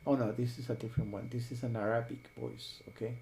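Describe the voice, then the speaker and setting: A man hosting a software tutorial, explaining each step while thinking aloud. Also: mid-range voice, flat delivery, fast, gravelly, a little hiss or hum. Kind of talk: screen-recorded tutorial.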